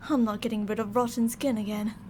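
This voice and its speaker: A woman's voice: fairly high pitch, level tone, fast talker, a little mellow, a little hiss or hum, lightly acted. A woman playing a controlling mad scientist in an ASMR audio drama, sugary and polite but ready to turn stern and commanding. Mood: businesslike, slightly worried. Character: controlling female mad scientist, sweet-talking, fretful captor.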